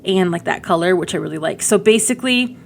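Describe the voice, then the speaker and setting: A woman's voice: lowish voice, expressive, fast, vocal fry, slight background noise. A woman giving casual, chatty gameplay commentary as a video host, rambling informally with frequent asides. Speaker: gaming video creator and host.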